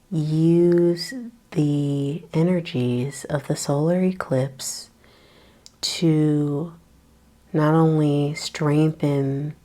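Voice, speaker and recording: A woman teaching a guided yoga nidra meditation, speaking softly with long pauses and breathy, trailing words. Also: low-pitched.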